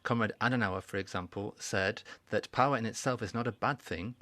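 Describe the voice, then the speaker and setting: A man giving a formal welcoming speech at a conference, measured and deliberate, with pauses and restarts. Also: lowish voice, a bit bright, no room sound. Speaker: conference speaker. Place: conference hall.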